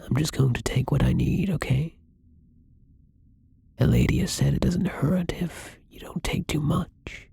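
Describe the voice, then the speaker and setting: A man playing a kind, gentle young vampire in an intimate ASMR roleplay, speaking softly and hesitantly in a breathy, often whispered voice. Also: fairly high pitch, measured pace, heavily acted. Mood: gentle, nervous. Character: kind, gentle young male vampire, newly turned and hungry.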